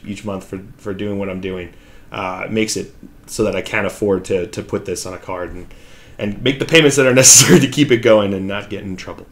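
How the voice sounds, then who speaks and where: A man with a raspy voice hosting his own hobby channel, chatting casually from his home hobby room into a desk microphone. He rambles, with many fillers and restarts. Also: on the low side. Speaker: channel host. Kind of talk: casual hobby vlog talk while painting. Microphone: new desk microphone.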